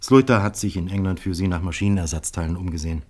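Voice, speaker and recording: Low-voiced, courteous police inspector. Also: male voice, darkish tone. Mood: smooth, courteous. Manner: polite, measured, formal. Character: courteous, shrewd male Dutch police inspector, calmly probing. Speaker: actor in a radio crime drama. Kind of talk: 1970s German radio play acting.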